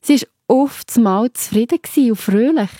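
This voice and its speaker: A woman narrator with a fairly high but mellow, husky voice tells a children's story in a Swiss German accent. She speaks simply and directly to young listeners, recorded dry and close. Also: unhurried, dry recording.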